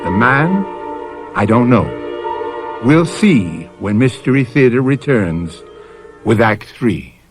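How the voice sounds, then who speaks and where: Music plays behind a slow, dramatic male narrator. He hosts an old-time radio mystery with ominous, widely varied intonation. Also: mid-range voice, very animated. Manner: theatrical, measured, ominous.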